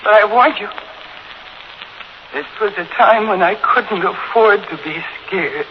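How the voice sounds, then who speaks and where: An actress playing an anxious but resourceful housewife held hostage in a 1940s radio thriller. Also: female voice, mid-range voice, a little slow, natural voice. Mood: shaken resolve. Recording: dull, noisy 1940s radio broadcast.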